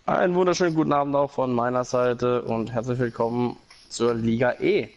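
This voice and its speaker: A man's voice: medium pitch, steady tone, fairly quick, highs cut off. Man, has a cold, co-commentator, hesitant fillers. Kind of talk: live sim racing commentary.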